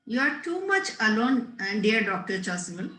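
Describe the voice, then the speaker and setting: A woman's voice: fairly high pitch, very animated, normal speed, bright, forceful tone. A woman reading the part of a prim, moralizing middle-aged governess with emphatic, preachy delivery, in a roomy-sounding space. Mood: coyly lecturing. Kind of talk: amateur table read of a stage comedy. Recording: roomy echo.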